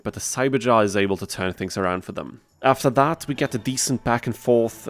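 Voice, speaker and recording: A man narrating a video game challenge from a casual script, with wry, sarcastic humor. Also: fairly low pitch.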